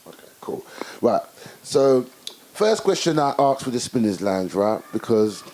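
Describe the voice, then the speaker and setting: A man's voice: medium pitch, sing-song, unhurried, darkish tone. A man hosting an interview, asking long, rambling questions full of fillers like "you know" and "obviously". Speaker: interview host.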